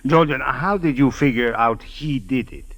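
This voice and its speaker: A man's voice: mid-range voice, normal speed, fairly bright tone, dry recording, lightly acted. A man playing a brusque, skeptical lawman in an old radio drama, curt and questioning. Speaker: supporting actor in a radio drama. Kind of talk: 1940s-50s radio drama acting.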